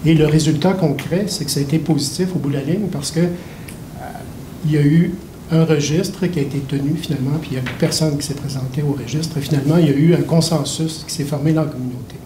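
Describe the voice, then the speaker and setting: A man speaking at a public meeting, measured and earnest. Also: on the high side, steady tone, mellow. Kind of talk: unscripted public comment. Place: meeting hall. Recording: echoey hall, faint background noise.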